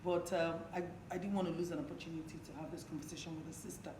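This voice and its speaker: A hoarse woman from Nigeria, hosting a talk show in a conversational interview style. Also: deep voice, steady tone, very fast, a little mellow. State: hoarse, partly lost voice. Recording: echoey room, clearly noisy background.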